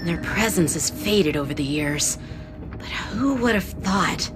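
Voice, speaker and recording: An actor voicing a dutiful, boyish young lieutenant in a video game, speaking formally, politely and articulately. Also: male voice, fairly high pitch, lively intonation, unhurried. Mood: polite, grave. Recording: background music under the dialogue.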